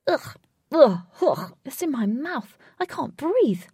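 A woman's voice: mid-range voice, animated, normal speed, vocal fry, a little mellow, slightly rough, no room sound. A woman voicing a grumpy, impatient, drily sarcastic male storybook character who speaks curtly and formally. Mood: disgusted. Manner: curt, disdainful, formal wording.

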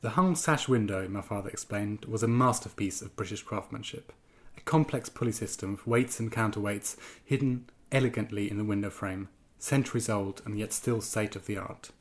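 A man's voice: lowish voice, normal speed, hardly any pauses. A man in his twenties or thirties, originally from Germany, answering interview questions hesitantly with many ums and restarts, then reading from his own piece. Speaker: guest writer.

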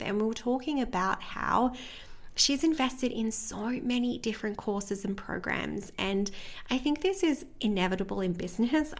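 A woman hosting her own podcast as a business coach, speaking in an earnest, motivational way with a slightly croaky voice. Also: mid-range voice. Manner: earnest, motivational, repeats phrases like "within your business" and "right?". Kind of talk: scripted podcast intro then casual monologue.

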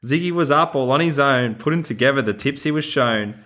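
A man's voice: medium pitch, fairly quick, fairly bright tone. An Australian man who hosts a podcast, talking casually and reading a children's storybook in a playful, encouraging way. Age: adult. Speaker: podcast host.

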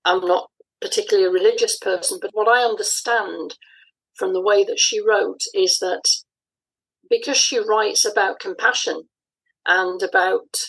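A woman speaking as an interview guest, explaining things conversationally in a measured, reflective way with pauses between phrases. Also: mid-range voice, breathy edge, rough recording. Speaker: interview guest, audio dramatist.

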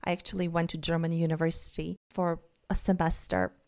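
A woman's voice: lowish voice, little pitch movement, brisk, darkish tone. Earnest woman. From Bulgaria. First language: Bulgarian. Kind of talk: reflective monologue.

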